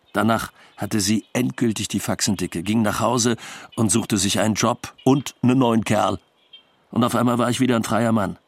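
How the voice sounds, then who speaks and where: Medium-pitched male voice, actor as wry expatriate doctor, dry colloquial delivery, documentary radio drama. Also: no echo, lightly acted. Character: wry, talkative Western expatriate doctor, self-ironic. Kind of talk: documentary radio play monologue, conversational storytelling.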